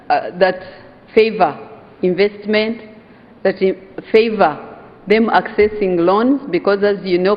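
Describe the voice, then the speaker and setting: A woman from Uganda with a Ugandan accent giving an earnest, emphatic conference speech, slowing down to stress key phrases. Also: mid-range voice. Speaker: conference speaker, hotel owners' association vice chair. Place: conference hall. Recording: dull sound in a reverberant hall.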